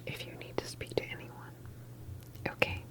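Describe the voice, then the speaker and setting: A woman's voice: normal speed, close to an everyday voice. Whispering, reassuring female doctor, acted by a woman. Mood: soothing, sympathetic. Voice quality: soft, breathy whisper. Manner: gentle, reassuring, hesitant phrasing. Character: calm, reassuring female doctor at a government facility. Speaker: ASMR roleplay performer. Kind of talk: whispered ASMR medical roleplay.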